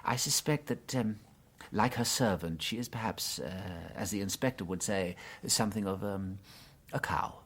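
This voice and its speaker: An English actor playing a gentle, unworldly but shrewd priest detective in a radio drama, hesitant and politely musing. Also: male voice, fairly low pitch, moderate pace, breathy edge. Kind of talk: full-cast radio drama acting.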